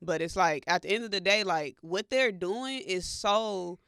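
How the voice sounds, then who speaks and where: A woman's voice: on the low side, a little slow, fairly bright tone, clean tone, dry recording, studio quality. An American woman co-hosting a talk show, chatting casually in blunt, slangy language. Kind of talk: casual culture gossip chat.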